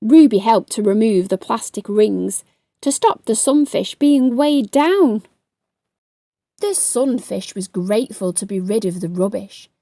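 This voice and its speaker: A woman reading a story aloud to children, hosting in an animated, expressive way and speaking directly to her young listeners. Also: medium pitch, unhurried, dry recording, studio quality. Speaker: children's story reader and host.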